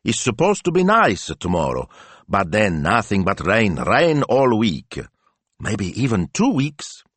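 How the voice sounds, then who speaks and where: A man's voice: lowish voice, huge pitch swings, measured pace, fairly bright tone, husky, no room sound, pitched above the performer's own voice, exaggerated voice. A man voicing an earnest male assistant who speaks insistently to his boss.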